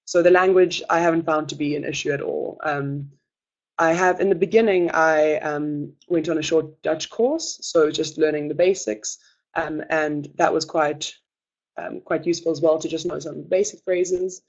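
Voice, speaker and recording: A young adult gives a webinar presentation as a student presenter, talking in a reassuring way with many hesitant filler pauses. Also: medium pitch, steady tone, muffled recording.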